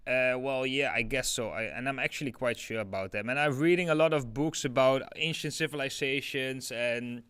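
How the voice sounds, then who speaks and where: A man's voice: medium pitch, normal speed, hardly any pauses, a bit bright, clear, resonant tone, dry recording. A young man with a Dutch accent hosting a casual live crypto stream, talking through charts with lots of filler words. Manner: chatty, many fillers like "like" and "yeah". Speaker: crypto livestream host.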